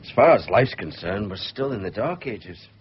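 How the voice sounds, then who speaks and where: An actor in a radio drama playing an earnest, calculating schemer who talks in a measured, hesitant, confiding way. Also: male voice, on the low side, lively intonation, slightly rough. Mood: businesslike, conspiratorial. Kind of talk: naturalistic 1990s radio drama acting. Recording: dull radio recording, faint noise.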